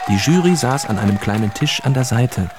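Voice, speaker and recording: A man's voice: medium pitch, expressive, a little fast, over a noisy crowd, heavy background noise, close to an everyday voice. A man narrating a children's radio play as a plain storyteller, reading a scripted tale.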